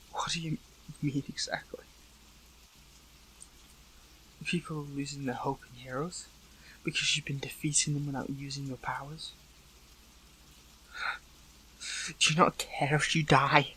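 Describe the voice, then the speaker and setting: A man playing an earnest, hurt captive superhero in an audio roleplay, speaking in halting, pleading phrases. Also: fairly high pitch, pushed and bright, slightly rough, full character voice, low-quality recording. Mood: concerned, probing. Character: captive male superhero, earnest, hurt, questioning an old friend. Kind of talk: dramatic audio roleplay acting, one side of a dialogue.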